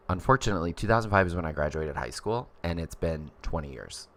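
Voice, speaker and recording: A man's voice: a bass voice, a bit bright. A man in his thirties chatting casually on a livestream, rambling as he reads viewer comments. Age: 30s. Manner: chatty and rambling, many fillers.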